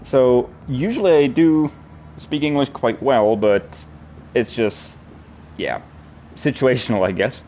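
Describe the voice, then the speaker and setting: A man from Germany co-commentating a sim race on a headset, relaxed and sometimes searching for the right words. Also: medium pitch, slow, a little hiss or hum. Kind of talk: live esports race commentary. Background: music and racing car sounds.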